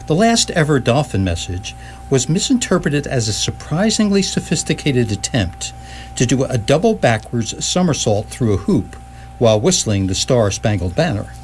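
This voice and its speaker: A man's voice: mid-range voice, big pitch swings, normal speed, a little mellow. A man giving scripted comic narration as the storyteller of a radio drama. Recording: clearly noisy recording with hum.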